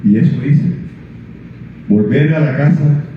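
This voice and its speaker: An older man speaks as an author at his book launch. His talk is mid-pitched and halting, full of restarts. The sound is very echoey and thin, like a phone line, with faint hiss. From Colombia. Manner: halting, with many restarts and fillers when speaking freely. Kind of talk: reading prologue, then answering questions. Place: book presentation event. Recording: echoey room over a tinny lo-fi line.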